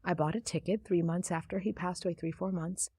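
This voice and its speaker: A woman guest in a conversational interview, talking about her book in a reflective, soft-spoken storytelling manner. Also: lowish voice, fairly even intonation, fairly quick, husky, dry recording. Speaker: author and guest. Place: studio.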